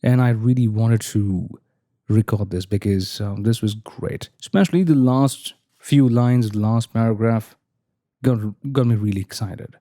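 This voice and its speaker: A man speaking as an amateur reader, with deliberate, theatrical emphasis and drawn-out phrases. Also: on the low side, steady tone, warm tone, dry recording, studio quality. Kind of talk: casual talk and dramatic audiobook-style reading.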